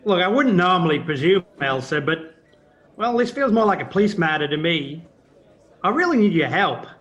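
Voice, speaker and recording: A man's voice: high voice, sing-song, moderate pace, fairly bright tone, exaggerated voice. A man acting in an audio play as an earnest, reflective embassy official with a conversational manner. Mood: earnest, concerned.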